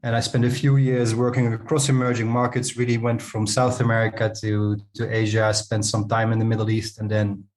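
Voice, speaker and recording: A man giving conversational interview answers, often pausing and repeating words as he goes. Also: lowish voice, flat delivery. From Netherlands. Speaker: guest, company co-founder and CEO.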